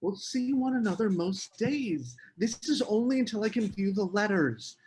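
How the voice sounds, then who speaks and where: A man's voice: high voice, normal speed, a little mellow, a little hiss or hum, close to an everyday voice, low-quality recording. A man at a screenplay table read playing a scheming, charming literary man with formal, earnest phrasing. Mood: defensive.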